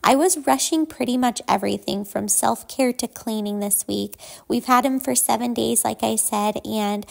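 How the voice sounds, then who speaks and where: A woman in her thirties narrates her cleaning vlog in a relaxed, chatty way, explaining each step as she goes. Her voice sits a little high and is full of vocal fry, with a gravelly edge at the ends of her phrases. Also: normal speed, few pauses. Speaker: home cleaning vlogger. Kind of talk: casual voice-over narration.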